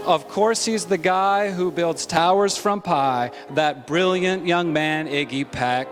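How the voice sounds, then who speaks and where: A man with a fairly high voice reads a rhyming picture book aloud. He takes his time, giving the verse an expressive, rhythmic shape as a storyteller. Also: slow, faint music behind. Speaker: story reader. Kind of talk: scripted children's story narration in rhyme.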